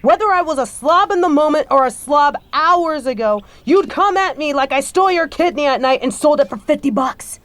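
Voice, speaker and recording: A woman performs a bitter adult daughter in a scripted audio drama. Her voice is high for a woman. She speaks in a scathing, confrontational way and swears freely as she takes her neglectful stepfather to task. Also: animated, moderate pace, hardly any pauses, a bit bright, dry recording. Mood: accusing, angry. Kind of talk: scripted audio roleplay monologue.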